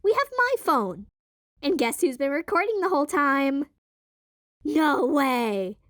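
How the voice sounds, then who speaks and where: A woman voicing excitable, nerdy young twin girls in an audio drama. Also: high-pitched, slow pace, no echo, studio quality, heavily acted. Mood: excited.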